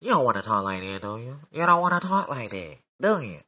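A man's voice: mid-range voice, big pitch swings, a little fast, bright, forceful tone. A man doing a pinched nasal loser voice. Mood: mocking. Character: man talking with his nose pinched shut.

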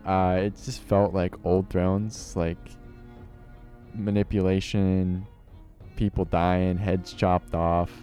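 A young man hosting a podcast, chatting in a relaxed way and often repeating himself. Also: deep voice, slow, faint music behind. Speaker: podcast host. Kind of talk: casual podcast chat.

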